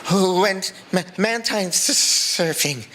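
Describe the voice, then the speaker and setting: A woman voicing a shivering, sheepish teenage boy in a story, halting and stammering through his words. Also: on the low side, fairly bright tone, slight background noise. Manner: halting, stammering, lame delivery.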